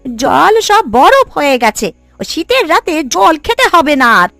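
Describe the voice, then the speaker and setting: A woman as a high, chiding old village wife. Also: unhurried, gravelly, dry recording, faint music behind, exaggerated voice. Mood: scolding. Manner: chiding, expressive. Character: scolding but fond elderly village wife. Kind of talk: cartoon dialogue.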